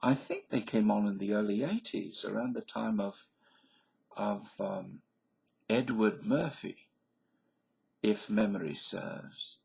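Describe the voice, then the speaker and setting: A man's voice: lowish voice, slow pace, gravelly, long pauses, husky. A middle-aged man vlogging in a rambling casual monologue, blunt and opinionated, wandering off into asides.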